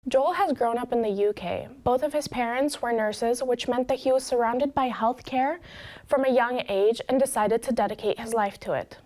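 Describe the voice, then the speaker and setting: A woman's voice: a little high, moderate pace, darkish tone. A young woman in her twenties with an American accent, giving a prepared introduction as a student presenter. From Chicago, United States. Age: 20s.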